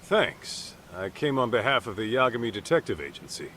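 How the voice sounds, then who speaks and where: An actor playing an easygoing, plain-spoken middle-aged private detective in an English video game dub. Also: male voice, medium pitch, sing-song, moderate pace, fairly bright tone, slight background noise. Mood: polite, casual.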